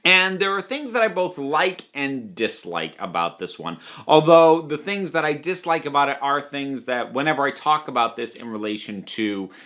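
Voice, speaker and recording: A man with a bright, forward voice talks through a review in a conversational way. He is emphatic and opinionated, working out his thoughts aloud as he goes. His pitch sits in an ordinary middle range for a man. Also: very animated, normal speed, hardly any pauses. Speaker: reviewer of audio dramas.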